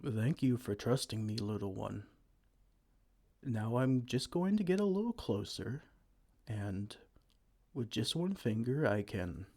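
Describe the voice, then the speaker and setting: A man voicing a gentle, kind male giant who talks softly and soothingly in an ASMR roleplay. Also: medium pitch, big pitch swings, measured pace, long pauses. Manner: soft, soothing, comforting.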